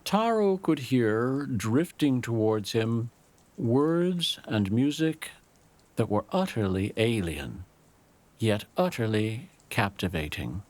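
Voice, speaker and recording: A man reading a scripted dramatic story as a storyteller, in a measured, literary manner. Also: mid-range voice, animated, a little mellow.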